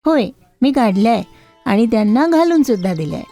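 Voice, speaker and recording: A woman voicing a devoted, caring village wife in a storybook, gentle and respectful. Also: a little high, animated, a little fast, faint music behind. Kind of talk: storybook character voice.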